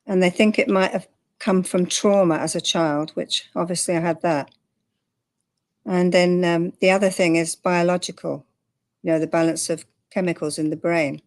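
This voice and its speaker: An older woman from England, calmly and plainly sharing her story as an interview guest. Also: lowish voice, moderate pace. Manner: calm, matter-of-fact, with light laughs. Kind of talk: candid personal interview.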